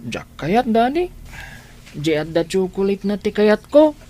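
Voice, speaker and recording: A woman's voice: mid-range voice, big pitch swings, measured pace, warm tone. A woman with an Ilocano accent playing an innocent young child in a radio drama, with simple, pleading speech. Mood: hungry, pleading.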